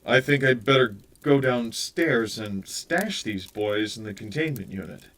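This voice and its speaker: A calm, practical man speaking matter-of-factly, as a man narrator puts him on. His delivery is slow, and the voice sounds set back from the microphone. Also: medium pitch, natural voice. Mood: awkward. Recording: voice a bit far from the mic.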